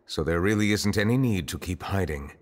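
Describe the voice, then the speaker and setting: A man voicing a grave, composed chief justice in a video game, questioning in a formal, measured way. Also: low-pitched, animated. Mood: stern, calm. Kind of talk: scripted video game dialogue. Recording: long hall reverb, music behind.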